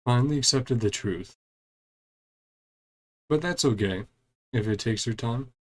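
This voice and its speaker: A man's voice: medium pitch, measured pace. A man giving casual live commentary as he streams a game, muttering to himself and trailing off.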